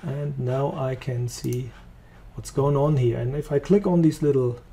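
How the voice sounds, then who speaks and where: German-accented man, gravelly and mellow. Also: medium pitch, a little slow. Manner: relaxed, thinking aloud while clicking. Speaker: software trainer. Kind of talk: screen-recorded software demo.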